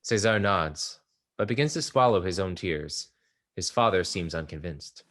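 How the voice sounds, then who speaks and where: A man calmly reading stage directions aloud at a play table read. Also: low-pitched, measured pace. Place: art exhibition theater area.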